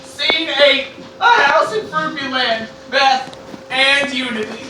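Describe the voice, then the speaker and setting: A performer voicing an excitable, cheery cartoon creature who gushes as announcer of a comedic radio play. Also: high-pitched, takes it slowly, exaggerated voice. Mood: gleeful. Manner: exclaiming, gushing, enthusiastic. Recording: roomy, distant mic, faint noise.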